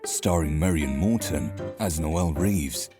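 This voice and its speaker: A male announcer delivers scripted credits for an audio drama. His voice is extremely deep and his pace slow, with music clearly playing behind him. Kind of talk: scripted title narration. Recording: prominent music under announcer.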